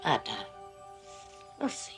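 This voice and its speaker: A woman playing a gentle visitor to a sick child in a television drama, with a playful storytelling manner. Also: takes it slowly, faint music behind, close to an everyday voice. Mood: wistful.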